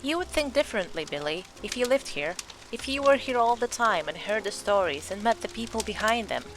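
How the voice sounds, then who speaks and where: A woman's voice: medium pitch, expressive, brisk, music in the background, lightly acted. A woman acting in an audio drama as an earnest young believer in ghosts, telling her story with dramatic emphasis.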